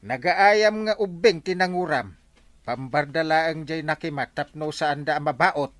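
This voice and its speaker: A man's voice: fairly high pitch, measured pace, a bit bright, no echo. A man playing a whining, theatrical village captain in an Ilocano radio comedy drama. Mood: serious. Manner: wailing, exclamatory, theatrical. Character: whining village captain, comic complainer fond of liquor.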